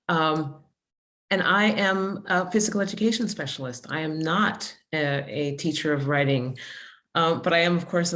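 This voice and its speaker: A woman with a low voice introduces herself on a video call, chatty and animated. She restarts her sentences often as she talks. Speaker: physical education teacher.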